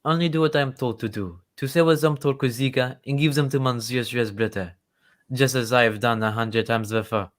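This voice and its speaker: A man's voice: medium pitch, steady tone, a little fast, natural voice. A man playing an indignant working-class fisherman and smuggler in an old-time radio drama. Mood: defensive.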